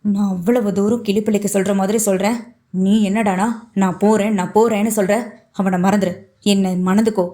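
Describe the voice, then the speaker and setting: A woman's voice: medium pitch, steady tone, a little fast, dark tone, close to an everyday voice. A woman reading an audiobook, voicing a hot-tempered young man who pleads and then roars. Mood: insistent. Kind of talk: audiobook character voice.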